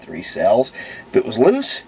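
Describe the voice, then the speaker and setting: A man giving a casual, unscripted hands-on demonstration as a hobbyist restorer, thinking aloud in an unhurried way. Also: lowish voice, wildly swooping pitch, gravelly, background noise. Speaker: hobbyist restorer of antique radios, presenter.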